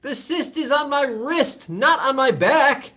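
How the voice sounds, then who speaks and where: An actress as a stubborn, anxious patient in a play reading. She is fretful and demanding, with pitch that swings widely from line to line. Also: lively intonation, slow, fairly bright tone, full character voice. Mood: exasperated. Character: anxious, stubborn patient, a middle-aged mother fretting over her wrist. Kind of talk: live play reading over video call. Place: indoors, remote video call performance.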